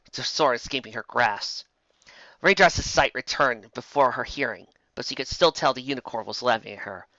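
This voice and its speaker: A man reading fantasy fiction aloud as an expressive amateur storyteller, now and then stumbling over a word. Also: medium pitch, dry recording, highs cut off. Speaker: story narrator.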